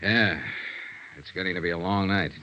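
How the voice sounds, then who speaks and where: An American man playing a sharp hardboiled insurance investigator in 1950s radio drama, crisp and clipped. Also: low voice, normal speed, close to an everyday voice. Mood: weary, explaining. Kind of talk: 1950s radio detective drama acting and narration. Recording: dull vintage radio recording with music.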